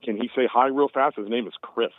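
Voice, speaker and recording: A man's voice: medium pitch, steady tone, brisk, no echo. Easygoing man in his fifties on a phone line. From United States. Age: 50s. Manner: easygoing, rambling with fillers. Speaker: caller. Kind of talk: casual phone call.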